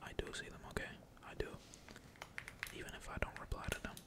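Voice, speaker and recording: A gamer speaks entirely in a breathy whisper, giving casual ASMR commentary on their play. The talk is slangy and peppered with swearing, while computer keys clack behind it. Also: quick pace. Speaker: gaming video creator. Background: computer keyboard typing.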